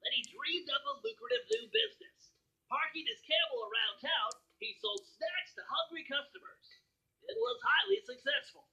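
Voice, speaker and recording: A man's voice: extremely high pitch, very animated, normal speed, sharp tone, heavily acted, poor audio. A man voicing a nerdy, brainy turtle sidekick who narrates a cartoon video game in a precise, wry storytelling manner. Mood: wry, upbeat storytelling.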